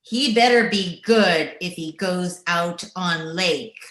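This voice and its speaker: Woman playing very slow, ominous Native servant woman. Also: fairly low pitch, a bit bright. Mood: solemn, ominous. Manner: halting broken English, ominous warnings. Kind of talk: old-time radio horror acting. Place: remote recording over Zoom. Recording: roomy echoing video-call audio.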